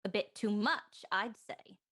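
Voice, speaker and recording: A woman's voice: mid-range voice, measured pace, a bit bright. A woman playing a defensive, evasive house guest suspected in a radio murder mystery, guarded and dramatic.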